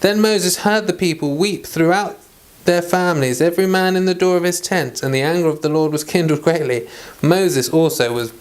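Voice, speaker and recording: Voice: medium pitch, normal speed, hardly any pauses. A person reading the Bible aloud as host of an informal read-along, mixing plain chatty asides with formal scripture.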